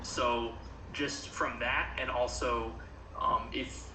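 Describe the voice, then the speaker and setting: A man from the United States chats casually, full of "like" fillers. His voice echoes around the room over clear background noise. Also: mid-range voice, steady tone, takes it slowly. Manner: chatty, lots of "like" fillers. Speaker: guest commentator. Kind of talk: casual chat.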